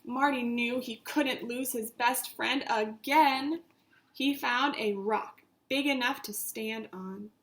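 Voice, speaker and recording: A woman with a high-pitched voice reads a children's story aloud like a teacher. She takes it very slowly, with animated, dramatic emphasis, and speaks straight to her young listeners. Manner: animated, addresses listeners directly as friends.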